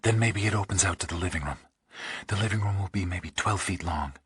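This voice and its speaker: Practical, wary female investigator, played by a male narrator: breathy half-whisper, hushed, questioning, dry close sound. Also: low voice, fairly quick, dry recording, heavily acted. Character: wary, practical woman investigator working alongside partner. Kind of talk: audiobook thriller character acting.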